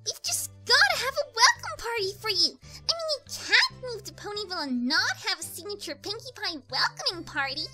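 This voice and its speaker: A woman voicing a hyperactive, cheery pink pony mare in a fan fiction reading, bubbly and squeaky. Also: fairly quick, hardly any pauses, loud music behind the voice. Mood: excited, bubbly. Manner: bubbly, exclaiming, excitable.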